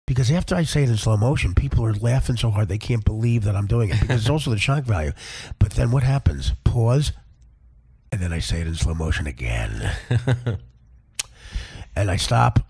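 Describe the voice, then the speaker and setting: Gravelly, animated male comedian. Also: on the low side, moderate pace. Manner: animated, crude, rambling, acts out bits. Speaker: stand-up comedian. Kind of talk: casual, profane comedy storytelling.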